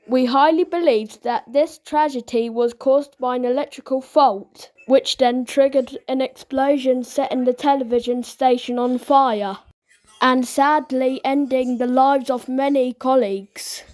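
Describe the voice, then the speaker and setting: A woman's voice: sits high, darkish tone. A woman continuity announcer reading a scripted emergency announcement in a formal manner.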